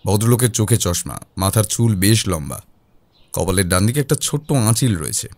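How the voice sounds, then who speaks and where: A man's voice: on the low side, expressive, a little fast, a little mellow. A man reading a scripted first-person detective story as its narrator.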